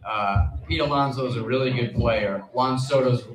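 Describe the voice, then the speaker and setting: A man giving conversational sports analysis as a panelist on a live stage, measured and reasoning things out aloud. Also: mid-range voice. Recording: echoey live stage sound.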